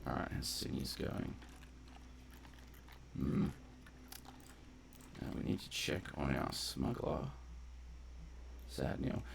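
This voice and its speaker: A man's voice: rumbling low, sing-song, takes it slowly, creaky, pauses often, slight background noise. A man giving casual, unscripted gameplay commentary, rambling off on tangents as he explains game mechanics in detail. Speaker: game streamer and narrator of a playthrough.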